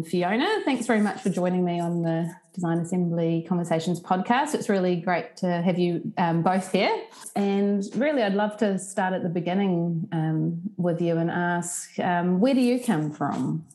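A woman's voice: lowish voice, normal speed, dark tone. A woman with a New Zealand accent hosting a casual podcast interview, hesitant with many ums. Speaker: podcast host.

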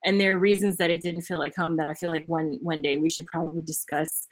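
A woman's voice: deep voice, flat delivery, fast. A young woman from Tennessee hosting a podcast over a remote call, chatty and casual, with lots of "like" and "um" and frequent laughter. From Memphis, Tennessee, United States. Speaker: podcast host.

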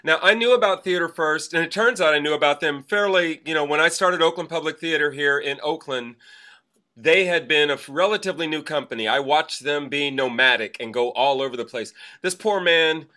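A man's voice: fairly high pitch, fairly quick, pushed and bright. A man hosting a podcast, telling a wry, chatty story as he sets up a question for his guest. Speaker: podcast host. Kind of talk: casual conversational interview.

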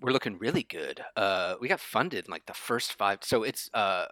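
A man's voice: on the low side, lively intonation, moderate pace, bright, forceful tone. A man speaking as a guest in a casual, bantering conversation, slangy and effusive about his project. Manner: slangy, effusive, says "man" and "dude" often. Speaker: guest, comics creator.

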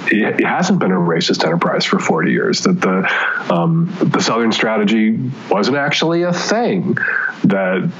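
A man's voice: fairly low pitch, big pitch swings, measured pace, a bit bright, muffled recording, a little hiss or hum. A man talking as a guest columnist, emphatic and blunt, swearing freely and wandering into asides. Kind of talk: opinionated conversation. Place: Seattle area.

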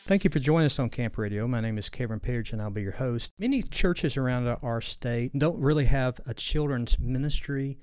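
A man hosting a podcast interview, talking in a halting way with many fillers. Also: medium pitch, darkish tone. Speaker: podcast host. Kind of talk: casual interview.

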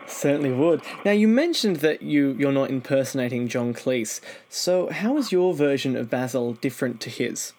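Male interviewer, mid-pitched voice, delivering prepared questions. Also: animated, normal speed, few pauses, a little mellow, slight background noise. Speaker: interview host.

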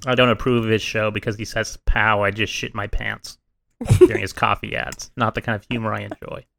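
A man co-hosting a casual podcast, dry and deadpan, reading a mock statement. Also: fairly low pitch, brisk, creaky. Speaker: podcast co-host.

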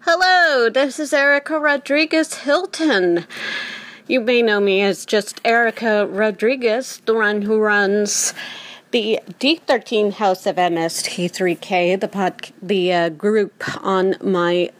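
A woman records a spoken message for podcast listeners. Her pitch is average and her pace is slow. She measures out her sentences, pausing partway through them. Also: a bit bright. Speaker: comedy writer, guest contributor.

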